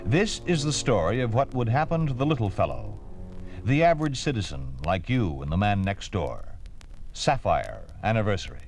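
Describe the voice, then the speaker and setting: A man delivering scripted old-time radio narration as an announcer, grave and deliberate. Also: on the low side, sing-song. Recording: old radio recording with music.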